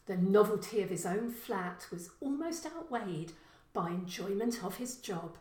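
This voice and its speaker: A woman reading a short story as scripted narration, in a measured, expressive storytelling manner. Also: medium pitch, echoey room.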